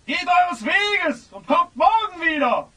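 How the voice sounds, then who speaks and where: A man playing a gruff, hostile kidnapper on guard, barking brusque orders in a German audio drama. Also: extremely high pitch, sing-song, takes it slowly, recorded from a distance, exaggerated voice. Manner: shouting, brusque, commanding.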